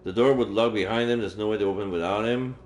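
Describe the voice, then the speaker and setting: A man's voice: lowish voice, fast talker, darkish tone. A man streaming a game and reading its visual novel text aloud. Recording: echoey room with faint game music. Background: faint game music.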